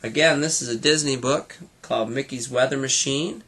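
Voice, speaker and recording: A man's voice: mid-range voice, normal speed, fairly bright tone, breathy edge. A man narrating a children's storybook expressively, like a teacher reading to his students.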